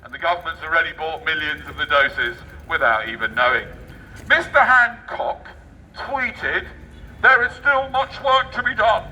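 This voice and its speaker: Sharp, high-pitched English man, sarcastic street orator. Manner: sarcastic, declamatory, dropping into muttered asides. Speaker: street performer reading a newspaper aloud. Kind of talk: satirical public read-aloud with ad-libbed commentary. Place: outdoor public street. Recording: distant mic outdoors with faint traffic noise.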